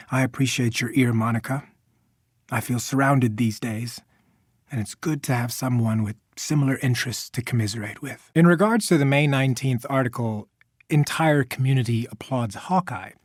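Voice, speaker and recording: A man's voice: medium pitch, no echo, natural voice. A man acts as a middle-aged scientist in a video game, nursing resentment. He talks in long, precise sentences with a contained bitterness, like private dictation. The recording is close and dry. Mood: weary grievance. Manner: wordy, precise, embittered, restrained. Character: George Tarleton, resentful, articulate middle-aged human scientist.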